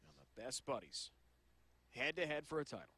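A man doing play-by-play on a televised bowling match, brisk and upbeat, over music and crowd noise. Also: medium pitch, big pitch swings. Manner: brisk, upbeat promo delivery. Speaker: play-by-play announcer. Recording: broadcast mix with music and crowd.

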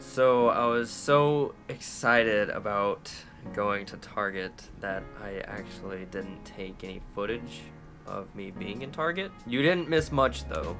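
A young man in his twenties talking casually to a handheld camera, relaxed and rambling, outdoors and later in a small cabin. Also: on the low side. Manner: relaxed, rambling, self-amused. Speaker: cruise ship musician vlogger. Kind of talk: casual vlog chat. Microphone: phone camera at arm's length.

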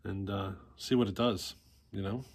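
A man in his forties chatting casually to camera, plain-spoken and halting as he thinks aloud. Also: fairly low pitch, fairly even intonation, breathy edge. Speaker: vlogger, hobbyist chainsaw mechanic.

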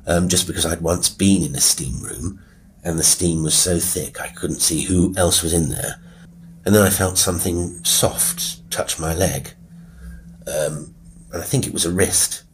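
A British man hosting a solo podcast, talking informally in a rambling, wry, self-deprecating way with a husky voice. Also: extremely low pitch, slight background noise. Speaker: horror podcast host and writer. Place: quiet room with a piano at work. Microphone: wireless Bluetooth mic into a phone.

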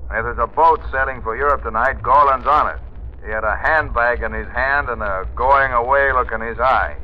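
A man's voice: medium pitch, brisk, lightly acted. An actor playing a helpful newspaperman in 1930s radio style, casual and a little hesitant. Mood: casual, hedging. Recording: muffled, noisy 1930s radio recording.

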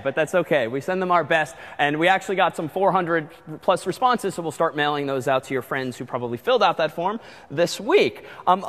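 A man's voice: a little high, a bit bright. A man lecturing in a large hall, giving chatty announcements with frequent ums and uhs. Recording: long hall reverb.

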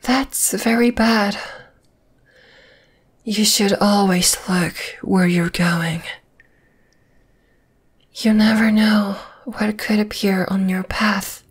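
A woman playing a dominating, flesh-eating spider monster in an ASMR roleplay, whispering in a breathy, teasing, menacing way. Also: medium pitch, steady tone, slow, pauses often. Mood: gloating, menacing. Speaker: voice actor.

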